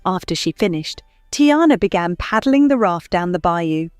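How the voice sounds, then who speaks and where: A woman reading a scripted children's story as narrator, in an expressive storytelling manner. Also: lowish voice, normal speed, no echo, studio quality. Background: faint music.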